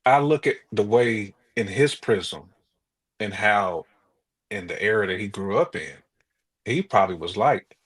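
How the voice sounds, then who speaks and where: An American man co-hosting a podcast in casual conversation, telling things in an animated, colloquial way with imagined quotes. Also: fairly low pitch, measured pace, breathy edge. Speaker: podcast co-host.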